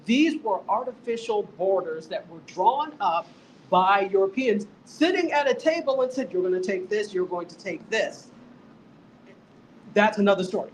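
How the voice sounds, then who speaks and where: A woman's voice: mid-range voice, animated, normal speed, slight background noise, low-quality recording. A woman speaking as a talk show guest, explaining things casually and emphatically, like telling a story. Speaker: guest, media academic.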